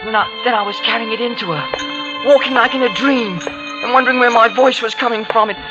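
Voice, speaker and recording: An actor playing a guilt-ridden young man in an old-time radio drama, halting and stammering. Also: very high voice, lo-fi sound, over music. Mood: haunted confession. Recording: vintage radio recording.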